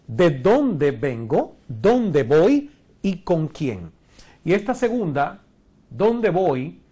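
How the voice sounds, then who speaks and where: A man's voice: a little high, darkish tone. A man in his forties from Cuba, standing before a screen in a studio and teaching an online course with a lapel mic, earnest and asking rhetorical questions. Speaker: course instructor. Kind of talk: recorded online lecture.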